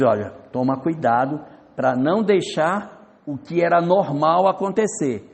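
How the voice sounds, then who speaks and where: A man giving a spiritist lecture in a lecture hall, telling stories in a lively way and acting out the dialogue. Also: a little high. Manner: storytelling, lively, acts out dialogue. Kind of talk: religious lecture. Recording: reverberant hall.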